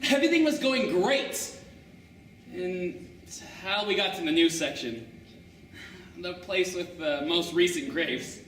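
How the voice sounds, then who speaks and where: A man's voice: sits high, lively intonation, slow, pauses often, fairly bright tone, slight background noise. A teenage boy in his late teens performing a humorous interpretation piece in a wry storytelling manner. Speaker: student performer. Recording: echoey room, far camera.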